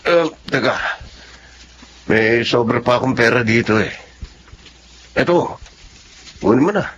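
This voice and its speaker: A man's voice: medium pitch, lively intonation, measured pace, a bit bright. A man with a Filipino accent playing a kindly, supportive office friend in a radio drama. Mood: sympathetic, generous. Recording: muffled audio with faint background noise.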